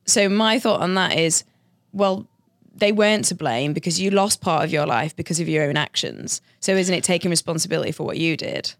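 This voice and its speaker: A woman's voice: on the low side, fairly quick, no echo, studio quality. A woman journalist with a northern English Yorkshire accent, talking thoughtfully in an interview with frequent fillers. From Sheffield, Yorkshire, England. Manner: thoughtful, hesitant, many fillers, laughs easily. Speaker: journalist guest. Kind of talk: conversational interview.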